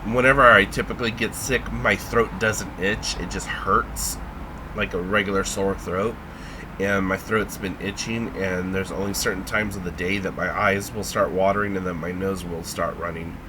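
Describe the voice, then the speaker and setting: A man vlogging in a casual, rambling way, halting with lots of ums and sounding a little congested. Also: sits low, steady tone, noisy background. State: congested, feeling sick.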